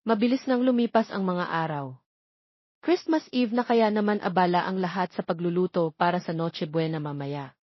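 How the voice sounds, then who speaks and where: A woman's voice: lowish voice, normal speed, no echo, dull audio. A woman narrating a scripted romance audio story.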